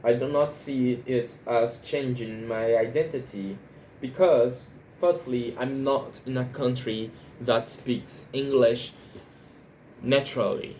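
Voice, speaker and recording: Slow, halting Brazilian-accented man. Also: mid-range voice, fairly even intonation, darkish tone, slight background noise, low-quality recording. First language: Portuguese. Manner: halting, repeats words and restarts sentences. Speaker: language learner vlogger. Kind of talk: unscripted monologue.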